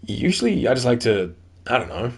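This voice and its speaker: A man's voice: medium pitch, big pitch swings, brisk, creaky. Joking young man with a New Zealand accent. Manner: jokey, riffs between reading lines. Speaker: gaming YouTuber. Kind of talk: reading game dialogue aloud with casual commentary.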